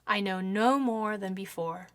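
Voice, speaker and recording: A woman's voice: medium pitch, measured pace. A woman reading a first-person horror story as dramatic scripted narration, with theatrical, precise delivery. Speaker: story reader.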